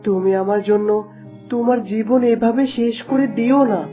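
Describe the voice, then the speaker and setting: A woman voicing the gentle, loving spirit of a dead young man in a melodramatic cartoon, tender and earnest. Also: a little high, normal speed, close to an everyday voice. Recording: plucked guitar music under the voice.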